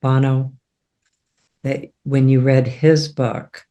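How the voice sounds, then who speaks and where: A man hosts an interview in an unhurried, flat delivery. His tone is mellow and low-key, and he rarely lifts or drops his voice. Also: highs cut off. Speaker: interview host. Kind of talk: conversational interviewing.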